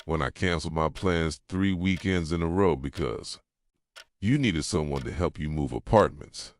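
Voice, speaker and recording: A man playing an obsessive, hurt, manipulative boyfriend in an intimate ASMR roleplay, speaking softly and insistently close to the microphone. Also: normal speed, dry recording. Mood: tender, reproachful. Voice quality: soft, low, breathy at times. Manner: intimate, insistent, pleading and accusing. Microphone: close microphone, dry sound.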